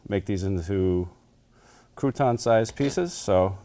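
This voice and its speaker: A man with a fairly low voice talks through a recipe as a guest cook. His delivery is relaxed and unpolished, full of "uh" and little restarts. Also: takes it slowly. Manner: easygoing, lots of "uh" and restarts. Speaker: town manager, guest cook. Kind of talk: casual cooking demonstration.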